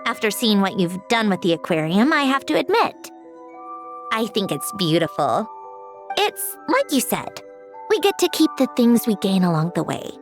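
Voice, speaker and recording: Aloof, sardonic young woman, lively inflection. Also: medium pitch, moderate pace. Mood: softened, sincere. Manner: wry, dismissive, matter-of-fact. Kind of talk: video game voice acting. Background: music.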